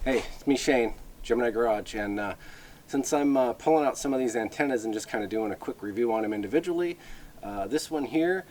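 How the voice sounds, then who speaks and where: A man giving a casual, unscripted product overview in a halting, conversational way with plenty of filler words. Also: mid-range voice, darkish tone. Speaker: product reviewer.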